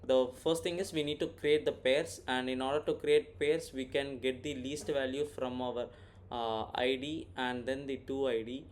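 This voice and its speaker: A man's voice: medium pitch, fairly even intonation, moderate pace. A man walking through an informal screen-recorded coding tutorial, thinking aloud and often checking in with "right?". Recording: clear background noise, keyboard typing. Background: computer keyboard typing, background noise.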